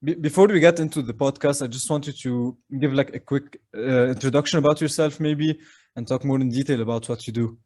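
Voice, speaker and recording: A man's voice: mid-range voice, a little fast, a little mellow. A man hosting a podcast, talking in a casual way with fillers and restarts. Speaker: podcast host.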